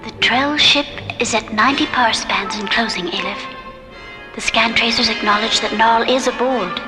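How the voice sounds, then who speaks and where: An actress playing a calm, formal guardian of an alien city in a radio drama, speaking precisely and with composure. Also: female voice, fairly high pitch, normal speed, natural voice, low-quality recording. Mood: urgent, composed. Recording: loud music behind, vintage radio drama.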